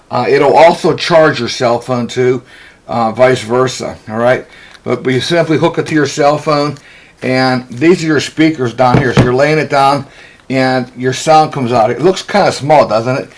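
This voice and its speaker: A man who is a ham radio operator, casually demonstrating radio gear to a camera, folksy and full of fillers and "okay?" check-ins. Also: mid-range voice. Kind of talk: casual product demo to camera.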